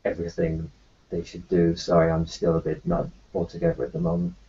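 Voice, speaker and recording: A man roleplaying his character in a tabletop game, talking in a halting way full of fillers and restarts. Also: deep voice, sing-song, vocal fry, dark tone, breathy edge. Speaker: player voicing his doctor character. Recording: dull lo-fi recording.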